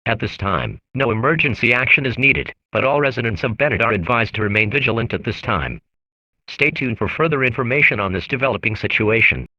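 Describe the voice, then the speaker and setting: A man reading scripted emergency alerts and weather bulletins as a radio announcer, in a flat, official, impersonal manner. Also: medium pitch, fairly quick, muffled recording.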